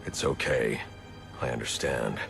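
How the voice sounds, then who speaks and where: Brooding masked vigilante for a video game: rock-bottom pitch, heavy gravel, grave and intense. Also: male voice, steady tone, measured pace, exaggerated voice. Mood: calm, resigned. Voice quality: gravelly, low. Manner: terse, grave, commanding. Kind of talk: video game cutscene acting. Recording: loud music behind the voice. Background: loud music.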